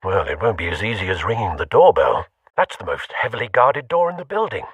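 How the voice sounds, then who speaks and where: A man voicing a dry-witted, composed older butler in a video game, formal and measured with a husky voice. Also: on the low side, big pitch swings, a bit bright, dry recording, exaggerated voice. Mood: dry, watchful.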